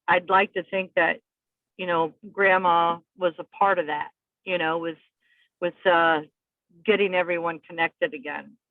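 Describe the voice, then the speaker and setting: A woman's voice: fairly low pitch, no room sound. A woman guest telling her life story in an interview, hesitant and full of fillers. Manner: halting, with many fillers and restarts. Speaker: podcast guest. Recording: tinny phone-like remote line.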